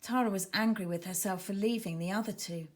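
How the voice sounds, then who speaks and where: A woman's voice: medium pitch, fairly quick. A woman narrating a children's story expressively, with dramatic emphasis as she reads. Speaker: author reading own children's book.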